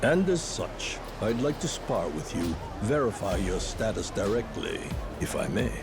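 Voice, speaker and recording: An actor playing a courtly, self-assured old martial artist turned lawyer who speaks in formal, polite, wordy phrases. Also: male voice, lowish voice, very animated, measured pace, over music. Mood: courteous.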